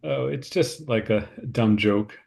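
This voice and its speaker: A man plays a self-deprecating, uneasy American man in a film script read. His medium-pitched voice has plenty of fry in it. The delivery is hesitant and understated. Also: slow, a little mellow. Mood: awkward. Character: David, reserved, uneasy, self-deprecating American male cousin. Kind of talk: screenplay table read.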